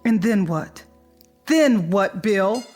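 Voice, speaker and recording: An actress playing a weary, despairing middle-aged American wife in a vintage radio drama, fatalistic and questioning. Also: female voice, medium pitch, animated, slow pace, darkish tone, soft background music.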